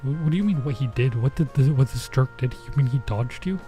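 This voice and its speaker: A man's voice: mid-range voice, a little fast, warm tone. A man streaming a visual novel, reading the story aloud and adding informal, bemused asides. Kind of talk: let's play, reading game text aloud with casual reactions. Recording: music under the voice. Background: game music.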